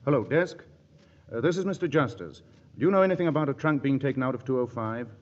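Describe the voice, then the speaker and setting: A man's voice: mid-range voice, animated, quick pace, lightly acted. A man playing an anxious young husband who plays detective in a 1940s radio comedy. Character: jittery husband, young amateur sleuth. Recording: dull old radio broadcast with faint hiss.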